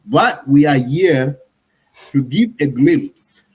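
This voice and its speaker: A man's voice: fairly high pitch, animated, slow, a little mellow, husky, low-quality recording. A man from Sudan speaking in a casual panel discussion, explaining at length and often checking in with "you see?". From South Sudan.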